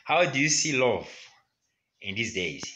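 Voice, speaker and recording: A man's voice: mid-range voice, sing-song, measured pace, sharp tone. A man interviewing a guest who prompts him, finishes his sentences and repeats his answers back. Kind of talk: interview questions.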